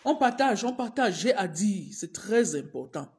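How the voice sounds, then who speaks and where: Woman, adult teacher, has a cold, clears her throat; slow, repetitive live-stream pleas. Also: mid-range voice, very animated, a little mellow. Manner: repetitive, urging viewers over and over. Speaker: teacher livestreaming to followers. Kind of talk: casual live-stream address.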